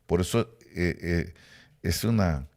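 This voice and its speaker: A middle-aged man from Rica, speaking, preaches in a very deep register with a gravelly rasp. He climbs and swells on the words he stresses, then sinks back into soft low asides. His pace shifts often as he teaches. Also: slow. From Costa Rica. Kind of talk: sermon teaching.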